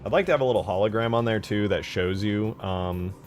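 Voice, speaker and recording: Deep-voiced man chatting casually over music. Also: a little fast. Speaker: game modder streaming his work. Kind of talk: casual livestream chat while working. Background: background music.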